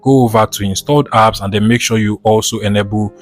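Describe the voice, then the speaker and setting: A man with a fairly low voice presents a tech how-to as a voice-over. He walks through each step methodically, in an instructional way. Music plays behind him. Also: fairly even intonation, fast talker. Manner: methodical, instructional, repeats set phrases. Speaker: tutorial host.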